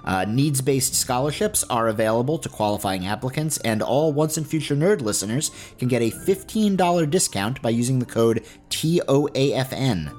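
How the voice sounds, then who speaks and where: A man's voice: medium pitch, lively intonation, normal speed, hardly any pauses, darkish tone, soft background music. A man hosting a podcast, reading announcements and sponsor notes in an earnest, casual way. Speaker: podcast host. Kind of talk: informal scripted announcements and sponsor read.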